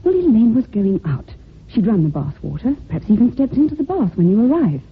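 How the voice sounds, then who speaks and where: Husky, insistent secretary in vintage radio drama. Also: female voice, fairly high pitch, very animated, brisk, heavily acted. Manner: insistent, pressing. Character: earnest, urgent secretary building a case, protective of her boss. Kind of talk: old-time radio drama acting. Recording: tinny old radio recording.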